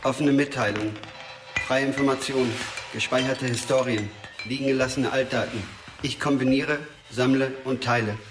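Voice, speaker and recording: A man's voice: medium pitch, steady tone, normal speed, natural voice. An actor playing an odd male informant who recites data like a terminal in clipped, impassive phrases, in a radio crime drama. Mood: impassive, reciting. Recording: roomy, distant, noisy with clicks.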